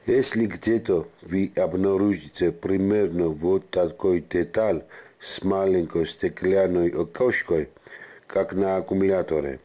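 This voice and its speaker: Deep, dark-voiced man narrating a tutorial. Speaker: car repair tutorial presenter. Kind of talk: instructional voice-over narration.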